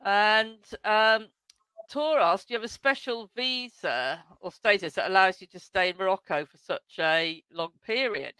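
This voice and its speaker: A woman hosting a video call interview, reading out audience questions slowly between brief acknowledgements. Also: medium pitch, bright, forceful tone, clean tone, no room sound, low-quality recording. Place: home room.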